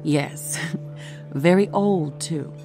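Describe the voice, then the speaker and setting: An actress playing a tactful, gently probing woman in an audio drama. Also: low-pitched, drawn-out words, over music. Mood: gentle coaxing.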